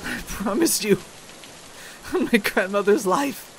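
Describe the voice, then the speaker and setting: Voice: medium pitch, lively intonation, measured pace, vocal fry, low-quality recording. An actor playing a terrified amateur superhero held captive, pleading emphatically in a comic dub. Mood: frightened, pleading. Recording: faint rain noise behind.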